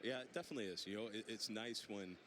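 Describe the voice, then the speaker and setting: Fast-talking, low-voiced ballplayer. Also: male voice. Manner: hesitant, repeats words, many "you know"s. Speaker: professional baseball player. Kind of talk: casual in-game interview. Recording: outdoor ballpark noise.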